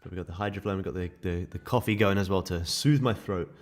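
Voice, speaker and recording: A man with a sore, croaky throat, casually walking viewers through live trading charts. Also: low voice, fast, soft background music. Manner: casual, chatty explaining to viewers. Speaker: day trading streamer.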